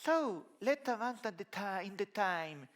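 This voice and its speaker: A man's voice: sits high, unhurried. Spanish-accented man giving a stage talk. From Spain. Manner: lively, conversational, gesturing while walking the stage. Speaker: conference speaker. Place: large dark conference hall stage.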